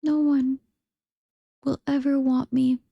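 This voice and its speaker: A woman's voice: high-pitched, little pitch movement, takes it slowly, gravelly, dry recording, lo-fi sound, full character voice. A woman voicing a sad, lonely young male duckling in a whispered storybook reading. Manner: soft whispery, sorrowful.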